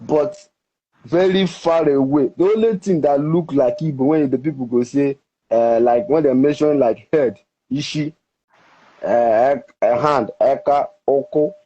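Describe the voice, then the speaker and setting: A Nigerian man whose first language is Ika, explaining at length with halting restarts in an interview. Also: medium pitch, mellow. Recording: dull, muffled recording.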